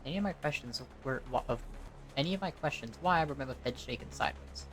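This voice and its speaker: A woman's voice: extremely low pitch, lively intonation, fast. A woman reading scripted story narration as an amateur voice-over, now and then stumbling over the text, with music behind her.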